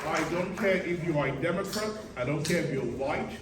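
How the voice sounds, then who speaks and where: A man's voice: a little high, lively intonation, a little fast, a loud crowd. A man giving a rousing political speech, emphatic and exhortative, repeating his phrases for effect. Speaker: political speaker at a community reception. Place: reverberant reception room, speaking to a gathering. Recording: echoey, distant hall recording with background noise.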